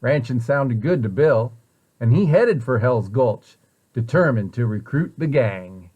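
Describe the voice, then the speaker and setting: A man reading a children's story aloud in an expressive way, with deliberate, dramatic emphasis. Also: mid-range voice. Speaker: storybook reader, site director at a children's center.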